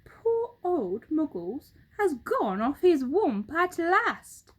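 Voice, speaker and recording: A plump, fussy storybook bird, played by a woman reading aloud. The voice is high and swings widely, with a dramatic, exclaiming delivery. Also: big pitch swings, slow, exaggerated voice. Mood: mocking. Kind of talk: children's storybook character voice.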